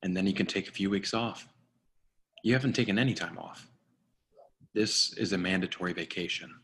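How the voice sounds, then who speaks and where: An actor playing a stern, commanding agency boss with a firm, authoritative manner, in an online screenplay reading. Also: male voice, low voice, a little fast, fairly bright tone, a little hiss or hum. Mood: firm, placating. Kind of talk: online screenplay table read.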